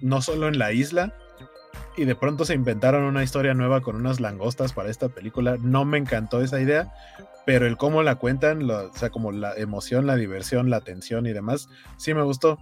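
A Mexican man with a mid-pitched voice talks in a casual, animated way, like someone telling stories to his viewers on a gaming news show. Music plays softly behind him as he chats. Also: a little fast. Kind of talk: casual chat, reading viewer comments.

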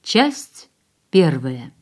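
A woman's voice: deep voice, sing-song. A woman announcing a radio play in a formal, declamatory manner. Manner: measured, declamatory.

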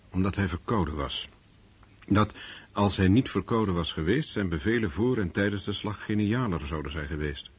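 A man acting as the sober narrator of a historical war story in a radio play, measured and reflective. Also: low voice, a little mellow, breathy edge.

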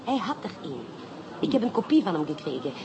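An actress playing an elegant, playful and clever inspector's wife in a radio drama, speaking coquettishly with a French accent and French phrases. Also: female voice, on the low side, big pitch swings, a little fast, lo-fi sound. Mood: triumphant. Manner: coquettish, theatrical, peppering talk with French phrases. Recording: clearly noisy radio play recording.

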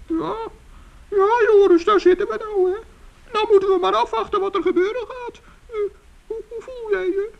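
Male forest gnome, very high pitch, gravelly, stammering, fretful, vintage radio play. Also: fairly even intonation, moderate pace, lo-fi sound. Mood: worried. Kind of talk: 1960s children's radio play.

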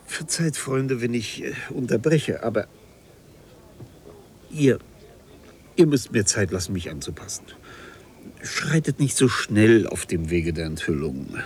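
An actor playing a polite, bewildered bookseller in a radio play, halting and puzzled as he struggles to follow. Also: lowish voice, animated, a little mellow. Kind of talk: German radio play acting.

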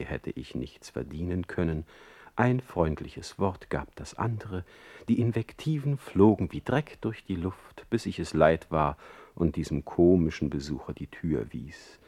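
An actor playing a witty, ailing exiled poet in a German radio play, with a mocking, eloquent and sharp-tongued manner. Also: male voice, a bass voice. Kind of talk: German radio play acting and letter narration.

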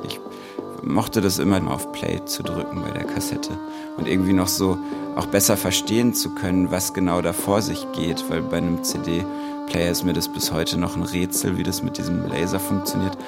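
Male interviewee, deep and gravelly, casual conversational delivery, prominent music underneath. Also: fairly even intonation, moderate pace.

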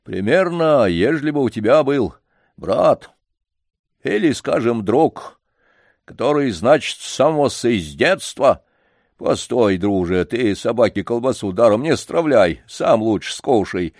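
A man's voice: medium pitch, darkish tone, dry recording, clean studio sound, pitched above the performer's own voice. A man reading an audiobook, voicing a proud, stubborn old grandfather who scolds and refuses. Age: over 60 (figure).

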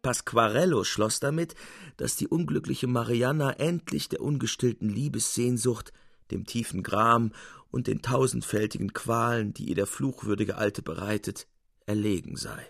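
A man narrates literary fiction in the style of an audiobook. His voice is mid-pitched, and the recording is dry with very little room sound. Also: moderate pace, vocal fry, no room sound.

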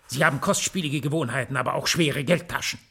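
A man's voice: mid-range voice, quick pace, sharp tone, breathy edge. An actor playing an older banker, an anxious, stern but fond father and uncle, in a German radio drama.

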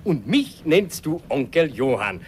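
A kindly old Hungarian gentleman in a radio play. His voice is fairly high and animated, hearty and avuncular. He has a Hungarian accent, with faint noise behind him. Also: measured pace. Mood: jovial, teasing.

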